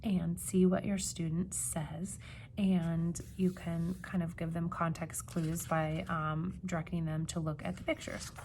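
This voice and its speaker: A woman teacher giving an expressive storybook read-aloud, with teaching asides and questions for young listeners. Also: lowish voice, slight background noise.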